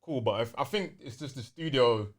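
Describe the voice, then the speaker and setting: A man's voice: mid-range voice, normal speed. A man co-hosting a gaming podcast, talking casually and informally about video games.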